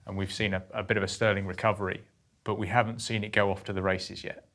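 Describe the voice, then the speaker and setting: A man hosting a business podcast, chatting briskly and with self-deprecating humor about markets. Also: lowish voice, little pitch movement, fairly bright tone. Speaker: podcast host, company executive. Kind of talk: casual market discussion.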